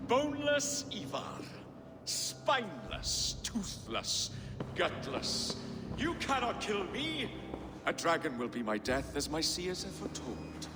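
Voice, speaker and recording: A man playing a proud, vengeful British king in a video game, slow and declamatory with contempt. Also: high-pitched, wildly swooping pitch, background noise, heavily acted. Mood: defiant fury. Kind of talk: dramatic video game acting. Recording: long hall reverb, loud music.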